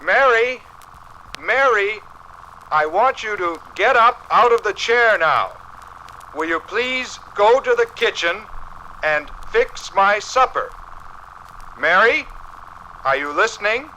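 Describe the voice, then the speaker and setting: An excitable American husband and amateur inventor in vintage radio style. He sounds bright and animated, fairly high for a man. The old recording carries some noise. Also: takes it slowly, long pauses, exaggerated voice, poor audio. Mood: calling, impatient. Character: eager, excitable amateur telephone tinkerer, a husband. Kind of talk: 1950s radio acting.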